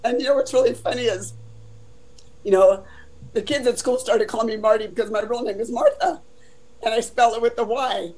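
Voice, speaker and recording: An older woman from Southern California with a deep voice, telling her life story in a casual, chatty interview full of "you know" fillers. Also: fairly quick, a little mellow, rough recording.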